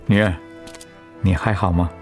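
An actor playing a gentle, steadfast, reassuring middle-aged father in a Mandarin radio drama. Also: low-pitched, fairly even intonation, normal speed, faint music behind, close to an everyday voice. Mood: gentle, subdued.

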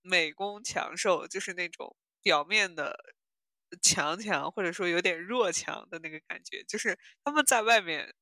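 A woman's voice: fairly low pitch, moderate pace, bright, forceful tone, no echo. A woman co-hosting a podcast in a casual, conversational chat. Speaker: podcast co-host. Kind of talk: casual chat about audio dramas.

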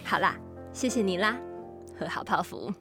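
A woman's voice: medium pitch, lively intonation, moderate pace, music in the background, natural voice. A woman with a Taiwanese Mandarin accent playing a frank, spirited young dorm mate in a podcast radio drama. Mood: softened, conciliatory.

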